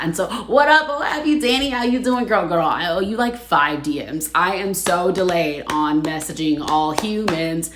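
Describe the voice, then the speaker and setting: Woman host, casual livestream chat, a bit low-pitched, roomy echo; chatty, laughs a lot. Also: a bit bright. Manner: chatty, laughs often, reads viewer comments aloud.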